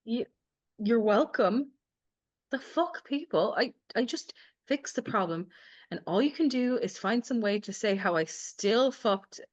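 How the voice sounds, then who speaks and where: A woman's voice: fairly high pitch, expressive, measured pace. Actress as defiant woman, slightly dull audio. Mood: indignant. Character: defiant, aggrieved injured woman. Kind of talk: live screenplay table read acting.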